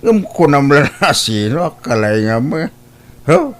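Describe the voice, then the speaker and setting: Actor as gruff older Filipino father, radio drama, slow grumbling drawl, highly animated pitch, scornful and proud. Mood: blustering. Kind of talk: Ilocano radio-style family drama acting.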